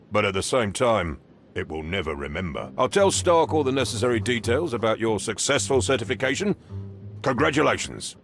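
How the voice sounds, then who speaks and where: Game role: male drill sergeant, gruff, grudging, heavy creak, animated intonation. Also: mid-range voice. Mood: grudging approval. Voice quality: gravelly. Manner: gruff, condescending. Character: stern, grudging veteran police drill sergeant. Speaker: police training sergeant. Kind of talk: video game voice acting.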